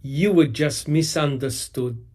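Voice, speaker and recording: Man, somewhat high voice, heated, emphatic, swears, casual discussion. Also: slow, a little mellow. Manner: heated, emphatic, swears, mocks with acted-out quotes. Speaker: podcast co-host. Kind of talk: casual true-crime discussion.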